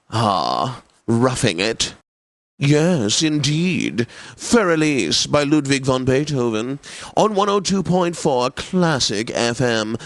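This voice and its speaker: An actor playing a wealthy, self-satisfied, effete classical radio DJ in a video game, with affected, precise enunciation and theatrical announcing. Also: male voice, medium pitch, no echo. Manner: pompous, affected, precise enunciation, savoring words.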